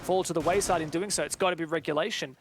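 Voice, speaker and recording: A man's voice: fairly high pitch, steady tone, fast. A man giving live esports play-by-play commentary with dramatic, colourful phrasing, as a match caster.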